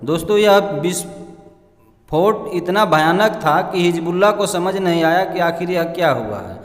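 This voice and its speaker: High-pitched man narrating, echoey. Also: lively intonation. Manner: halting read-aloud delivery, often addressing listeners as friends. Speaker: presenter narrating an explainer. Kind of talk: scripted explainer narration. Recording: echoey room with long reverb.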